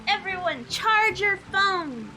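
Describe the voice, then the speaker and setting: A woman's voice: extremely high pitch, lively intonation, slow pace, rough recording. A woman giving casual, playful live game stream commentary, often repeating her phrases. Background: game music.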